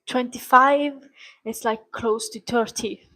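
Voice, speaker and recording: A woman's voice: fairly high pitch, fairly even intonation, takes it slowly, sharp tone, slight background noise. A woman in her mid-twenties speaking in a personal vlog monologue, earnest and rambling, restarting her sentences often, with small grammar slips. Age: 20s. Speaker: vlogger.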